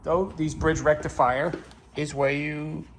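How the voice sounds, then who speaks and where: A man giving a casual, unscripted how-to narration as he works on a repair, halting and hesitant as he thinks aloud. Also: a little high, flat delivery, a little hiss or hum. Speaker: hobbyist repairer presenting own video.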